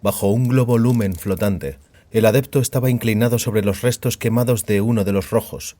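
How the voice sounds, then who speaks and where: A man's voice: lowish voice, moderate pace, dark tone. A man narrating scripted audio fiction as a storyteller.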